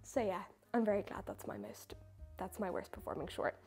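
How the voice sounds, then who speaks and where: A woman's voice: mid-range voice, very animated, moderate pace, vocal fry, a little mellow, husky, faint music behind. A young woman, a baker, answering viewer questions in a chatty, self-mocking way. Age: young adult. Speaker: baker and video creator. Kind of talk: casual question-and-answer chat.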